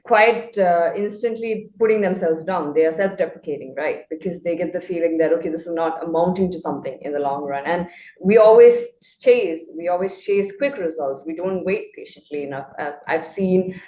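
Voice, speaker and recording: A woman's voice: fairly low pitch, moderate pace, mellow. A woman, a Malayalam speaker, a guest English tutor speaking in a thoughtful, articulate way in a casual conversation. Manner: thoughtful, articulate, laughs easily. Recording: echoey room.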